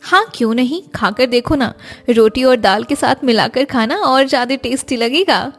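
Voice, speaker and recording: A woman voicing a crafty, sweet-talking married neighbour in an animated family story, gushing and familiar. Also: high-pitched, moderate pace, soft background music, exaggerated voice. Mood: sweet, wifely.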